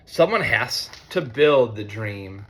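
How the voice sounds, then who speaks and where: An American man, a teacher, reads a picture book aloud to children in an expressive way, with conversational asides. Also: lowish voice. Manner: expressive, deliberate when reading, conversational in asides. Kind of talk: read-aloud storytelling with chatty asides to children.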